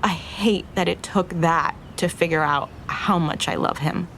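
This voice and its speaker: A young woman in an audio drama, earnest and full of regret. Her voice sits low with a creaky edge, and she opens up haltingly, as if confessing. There is faint noise behind her. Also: fairly bright tone. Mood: vulnerable, heartfelt. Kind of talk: naturalistic audio drama acting.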